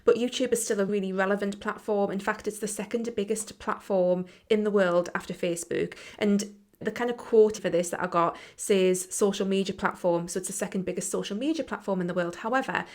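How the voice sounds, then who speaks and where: A woman's voice: medium pitch, steady tone, a little fast, darkish tone. A woman in her thirties, a business coach, talking frankly and casually on her own show, swearing now and then. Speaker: business coach and podcast host. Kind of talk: casual solo talk.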